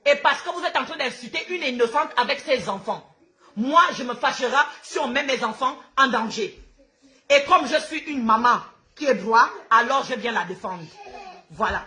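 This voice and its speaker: A woman's voice: a little high, moderate pace, pushed and bright. A woman with a Gabonese accent, a native Fang speaker, ranting live to her followers in an outspoken, combative, repetitive way. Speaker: social media host.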